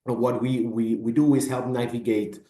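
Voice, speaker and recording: A man's voice: mid-range voice, little pitch movement, normal speed, mellow, roomy sound. A middle-aged man from Spain, a business advisor, introducing himself in an online meeting, often stammering and repeating words. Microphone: video call.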